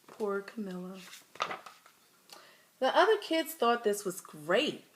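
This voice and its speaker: A woman's voice: medium pitch, big pitch swings, takes it slowly, a little hiss or hum, rough recording. A woman storyteller reading a children's picture book aloud with dramatic, emphatic phrasing.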